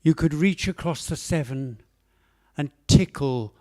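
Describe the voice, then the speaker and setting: A man with a Welsh accent, an open mic poet in a cafe, introducing and reading his poem in a slow, measured way with hesitant fillers. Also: fairly high pitch, dark tone. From Wales.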